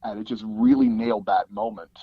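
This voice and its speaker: A man's voice: mid-range voice, brisk, gravelly, husky, dry recording, highs cut off. A man giving a casual interview, telling stories in a rambling way with many restarts, recorded in a small padded closet booth. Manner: rambling, animated storytelling with frequent "like" and repeated false starts. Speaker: interview guest, sound designer and audio drama producer.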